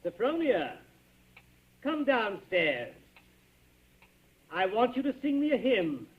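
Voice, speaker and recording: An actor playing a menacing, controlling husband who commands and needles with pointed questions, in 1940s radio suspense style. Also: male voice, sits high, very animated, slow, exaggerated voice. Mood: commanding. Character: menacing, controlling husband, coldly solicitous and taunting. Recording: dull, muffled old-time radio recording.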